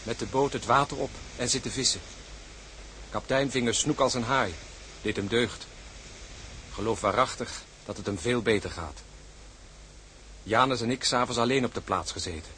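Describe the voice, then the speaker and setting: An actor playing a hearty, loyal and blunt ship's mate in a Dutch radio drama. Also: male voice, mid-range voice, moderate pace, long pauses, pushed and bright, a little hiss or hum, close to an everyday voice. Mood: quiet, reporting.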